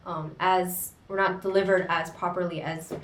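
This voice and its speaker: Young woman, book-chat co-host, hesitant and thoughtful, lowish voice, off-mic in an echoey room. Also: flat delivery, fairly bright tone, distant mic. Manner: thoughtful, hesitant, many pauses. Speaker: co-host of a book discussion channel. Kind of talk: casual book discussion.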